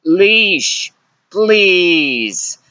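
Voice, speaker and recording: A narrator voicing a weary, sulking, stubborn lover who is emotionally raw and pleads slowly, in an audiobook story. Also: mid-range voice, lively intonation, bright, forceful tone, no echo, highs cut off. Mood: pleading.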